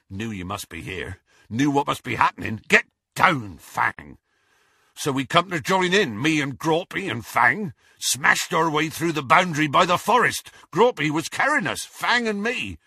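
Audiobook giant: hearty, loyal, rough-spoken, forceful husky delivery, gruff colloquial talk. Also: male voice, fairly high pitch, dry recording, studio quality, voice pushed up, heavily acted. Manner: bellowing, panting, gruff, colloquial.

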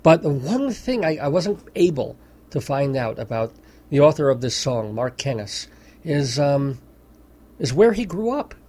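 A man's voice: on the high side, sing-song, a little slow, darkish tone. A man hosting a radio show, talking in a wry, mock-serious way with amused asides. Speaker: radio host. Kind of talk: casual radio commentary. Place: radio studio.

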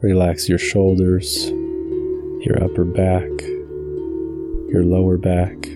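A man guiding a meditation from a script in a calm, measured, soothing way. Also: low-pitched, over loud music. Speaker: meditation guide, channel host. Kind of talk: scripted guided meditation narration.

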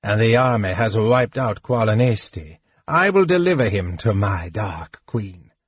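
A man doing a huge scheming dragon, cold and commanding, with a husky voice that stays measured and imperious. The recording is dry and dull. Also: lowish voice, expressive, no echo.

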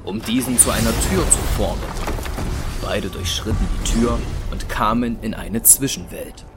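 Low-voiced man narrating an audio drama. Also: moderate pace, music in the background.

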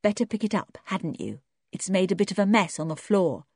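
A woman's voice: mid-range voice, big pitch swings, fairly quick, a little mellow, slightly rough, dry recording, pitched above the performer's own voice. A woman voicing a stern, protective young girl in a storybook, with a curt, icy manner. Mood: defiant.

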